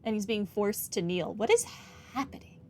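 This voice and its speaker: A woman's voice: mid-range voice, lively intonation, moderate pace, warm tone, slight background noise. A woman hosting a game playthrough, giving casual commentary with wry, sarcastic asides. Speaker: video game playthrough host. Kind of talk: casual game commentary, reading dialogue aloud.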